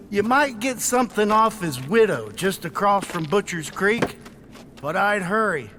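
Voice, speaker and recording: A callous, cackling American backwoods man in a game cutscene. The voice is startlingly high and slow, swooping about with mocking glee. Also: animated, sharp tone, a little hiss or hum, full character voice. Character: gleeful, callous male backwoods local. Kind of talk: dramatic game cutscene dialogue.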